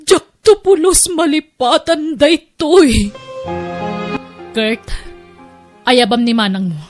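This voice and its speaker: A woman's voice: high voice, takes it slowly, soft background music. A woman with an Ilocano accent acting a hurt, angry, resolute wife and mother in a radio drama.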